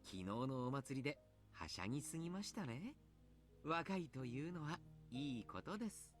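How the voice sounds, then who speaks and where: An actor playing a jovial, kindly adult coach in a visual novel, polite, chatty and playfully teasing. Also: male voice, mid-range voice, big pitch swings, fast talker, faint music behind, lightly acted. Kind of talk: Japanese visual novel voice acting.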